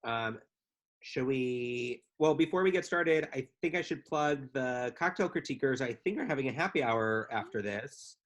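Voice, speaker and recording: A man's voice: medium pitch, expressive, moderate pace. A man co-hosting a live podcast, chatting in an easygoing way with frequent hesitations and ums. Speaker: podcast co-host. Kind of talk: casual live podcast chat.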